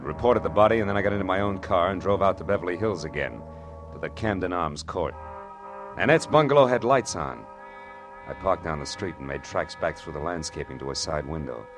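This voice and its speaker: An actor playing a wry, terse, cool-headed hard-boiled private detective who narrates a 1940s radio noir. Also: male voice, low voice. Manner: terse, wisecracking.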